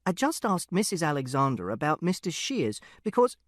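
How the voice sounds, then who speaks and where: Man playing an earnest, literal-minded schoolboy, higher-pitched. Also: sing-song, normal speed, dry recording, studio quality. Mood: earnest, anxious. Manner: plain, precise, literal.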